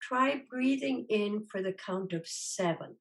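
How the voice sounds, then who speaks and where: Slow-speaking woman guiding a meditation. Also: mid-range voice, lively intonation, creaky. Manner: soothing, unhurried, gently instructing with long pauses. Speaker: meditation teacher. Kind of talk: guided meditation.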